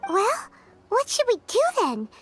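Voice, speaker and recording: Tiny chirpy fairy sidekick for an anime game, extremely high and bouncy, music behind. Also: female voice, wildly swooping pitch, measured pace, fairly bright tone, exaggerated voice. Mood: curious. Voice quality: squeaky, childlike. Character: small chirpy childlike fairy companion, eager and loyal.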